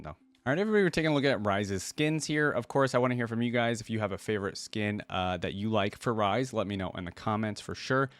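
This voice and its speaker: A man's voice: fairly low pitch, clear, resonant tone, no echo. A man hosting a reaction video, commenting casually and informally as he thinks aloud.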